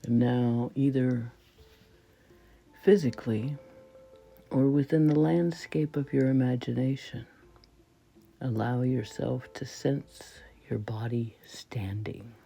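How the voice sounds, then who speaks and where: A woman leading a guided meditation in a soft, breathy voice that often falls toward a whisper, speaking reverently in short, measured phrases. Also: extremely low pitch, steady tone. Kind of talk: slow spoken guided meditation.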